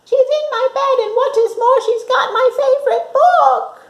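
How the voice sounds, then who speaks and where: A woman voicing a small, indignant baby bear in a very high, squeaky storybook voice. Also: a little slow. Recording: echoey room.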